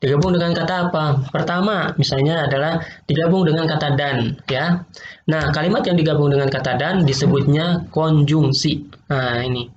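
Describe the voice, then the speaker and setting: A man's voice: on the high side, steady tone, moderate pace. A male teacher, patiently explaining logic in an online class, repeating points and quizzing students. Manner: patient, repeats points, asks quiz questions. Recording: roomy, dull lo-fi online class audio with faint noise.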